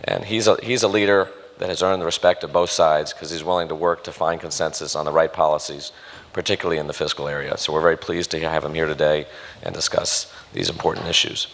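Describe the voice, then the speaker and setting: A man with a hoarse, failing voice giving prepared welcome remarks at a breakfast event. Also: rumbling low, fairly even intonation, fast talker, fairly bright tone, big-room sound. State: losing his voice, hoarse. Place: conference room at a live breakfast event.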